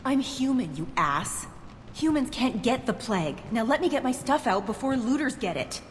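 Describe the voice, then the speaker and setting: A woman's voice: on the high side, sing-song, normal speed. An actress playing a desperate, indignant human woman in a video game, pleading and combative. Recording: echoey hall, clear background noise.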